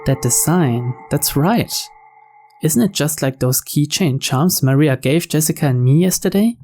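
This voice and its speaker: A man reading scripted visual novel narration aloud in a measured storyteller's manner. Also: a little high, expressive, darkish tone, soft background music.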